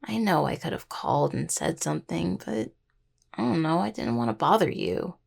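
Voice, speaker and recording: A woman playing a grieving, tender young necromancer in an intimate audio roleplay, speaking haltingly and apologetically to a partner. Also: low voice, big pitch swings, gravelly, heavily acted. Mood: teasing, affectionate.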